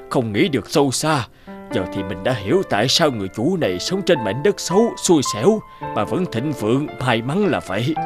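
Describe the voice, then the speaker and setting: A man's voice: medium pitch, lightly acted. A man voicing a flustered, guilt-ridden middle-aged feng shui master in a folk-tale cartoon, hesitant and stammering.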